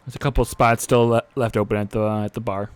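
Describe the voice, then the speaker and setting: A man's voice: medium pitch, fairly even intonation, fast, smooth. A young man narrating as a dungeon master, in a loose style full of fillers. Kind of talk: narrating a tabletop game.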